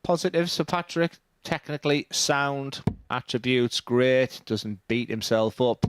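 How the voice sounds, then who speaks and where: A man's voice: medium pitch, measured pace, clean tone. A man with a Dutch accent giving live darts commentary in a plain-spoken, analytical way.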